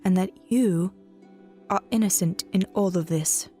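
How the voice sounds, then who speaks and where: A woman playing a soft-hearted, practical travelling bard in an audio drama, speaking in a gentle, reassuring manner. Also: fairly low pitch, unhurried, soft background music, natural voice. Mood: consoling, sad.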